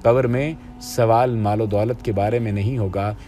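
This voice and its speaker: A man reading scripted religious narration in a measured, formal, preaching manner. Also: lowish voice, steady tone, mellow, slight background noise. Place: voice-over over stock footage with music.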